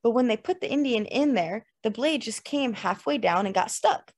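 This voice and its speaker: A woman's voice: a little high, lively intonation, moderate pace, pitched above the performer's own voice. A woman voicing a laid-back young man telling a joke conversationally in an audiobook. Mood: joking. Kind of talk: dramatized literary audiobook dialogue.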